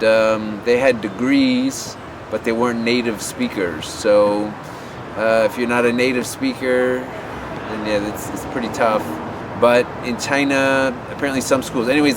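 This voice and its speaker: A man giving offhand advice about teaching abroad. He rambles and often restarts with fillers. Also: medium pitch. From USA. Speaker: English teaching advice vlogger. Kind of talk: unscripted advice talk to camera. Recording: outdoor street noise.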